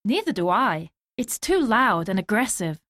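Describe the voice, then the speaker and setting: A woman reading scripted dialogue lines for a language lesson, slow and clearly spoken. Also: mid-range voice, very animated, fairly bright tone, no echo, clean studio sound.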